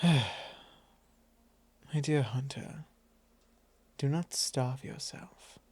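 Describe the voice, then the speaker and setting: A man voicing a suave, possessive vampire lord in an intimate ASMR roleplay, measured, courtly and teasing. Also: mid-range voice, darkish tone, husky, exaggerated voice. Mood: gently chiding.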